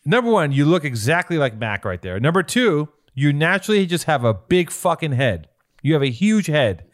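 A man with a slightly high voice and very lively, swooping intonation. He talks like a podcast co-host trading comic banter, teasing and swearing freely, with plenty of exclamations. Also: normal speed. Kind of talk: casual comedic banter.

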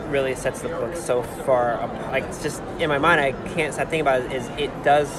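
A man chatting casually as a podcast host in a halting, rambling way, sprinkling in "like". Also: medium pitch, steady tone. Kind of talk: casual conversational interview. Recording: loud crowd chatter, outdoor urban noise.

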